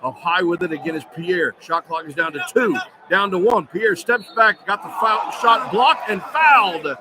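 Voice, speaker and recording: Male radio sportscaster, live hoops commentary, slightly high voice, noisy arena behind. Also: animated, moderate pace. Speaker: radio play-by-play announcer. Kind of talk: live basketball play-by-play commentary. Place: courtside in a large indoor arena. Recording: noisy arena broadcast with crowd. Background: crowd and loud arena noise.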